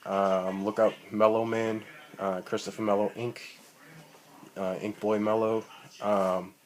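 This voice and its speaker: A man's voice: fairly low pitch, little pitch movement, measured pace, rough recording. A man, rambling profanely and full of ums as he talks about wrestling into a tablet mic. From Boston, Massachusetts. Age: 30s. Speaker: amateur wrestler. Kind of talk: rambling self-recorded vlog. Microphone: tablet built-in mic.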